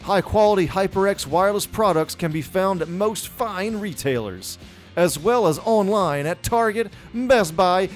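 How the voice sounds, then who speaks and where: Male podcast co-host, notably high voice, very varied intonation, laid-back colloquial phrasing. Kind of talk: casual banter and ad reads.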